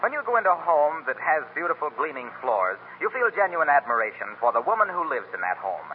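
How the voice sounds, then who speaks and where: Old radio ad guy, high voice, salesy and polished, faint hiss behind him. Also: very animated, fairly quick. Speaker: announcer. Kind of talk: 1930s radio commercial announcing. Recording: tinny 1930s radio broadcast, faint noise.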